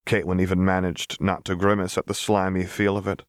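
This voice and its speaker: Deep voiced guy reading an audiobook story, really dry close sound. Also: completely flat delivery, a little fast, smooth, no echo. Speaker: audiobook reader. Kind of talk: scripted fiction narration.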